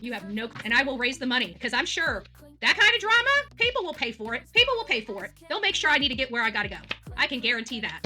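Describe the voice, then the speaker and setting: A woman's voice: fairly high pitch, sing-song, fast, pushed and bright. A woman livestream host on an angry, combative rant, emphatic and outspoken, repeating herself for force and swearing at times. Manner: coarse, outspoken. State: angry, worked up.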